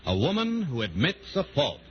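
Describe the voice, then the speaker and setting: A man's voice: medium pitch, huge pitch swings, moderate pace, husky. A man announcing in the style of 1940s network radio. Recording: muffled 1940s radio, faint hiss.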